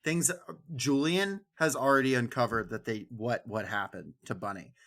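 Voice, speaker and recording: A man's voice: mid-range voice, normal speed, a bit bright. A man co-hosting a podcast, discussing a book casually in an informal, emphatic way with quoted lines. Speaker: podcast co-host.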